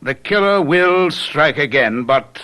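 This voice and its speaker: An actor playing a calm, self-assured gentleman detective in a radio mystery drama, speaking in a measured, soothing way. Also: fairly high pitch, heavily acted. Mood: calmly persuasive.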